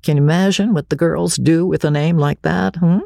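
A performer voicing a tipsy gentleman host in an audiobook, formal and deferential but fumbling and halting. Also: mid-range voice, steady tone, creaky, no room sound, studio quality, voice pushed up, lightly acted. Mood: tipsy geniality. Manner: halting, fumbling, boozily courteous.

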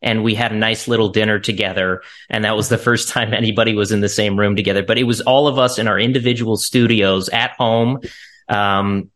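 A man's voice: lowish voice, steady tone, brisk, fairly bright tone. A man, an actor being interviewed, explaining things in a casual, straightforward way.

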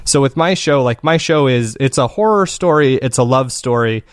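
A man's voice: mid-range voice, animated, moderate pace, no echo. Earnest man in a dry, close recording. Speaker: guest, fiction podcast creator. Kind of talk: conversational interview answers.

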